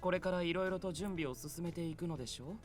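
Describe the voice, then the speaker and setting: Man as a polite, quick-spoken male angel. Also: a little high, faint music behind, natural voice. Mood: earnest, questioning. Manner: formal, courteous, precise. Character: polite, literal-minded, earnest male angel. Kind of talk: Japanese visual novel voice acting.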